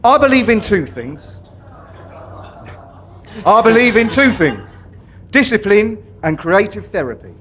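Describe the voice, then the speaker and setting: Casting: male actor as pompous prison governor type, high voice, grand drawn-out delivery, live stage comedy. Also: very animated, a bit bright, full character voice. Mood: grandiose. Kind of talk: live comedy sketch acting.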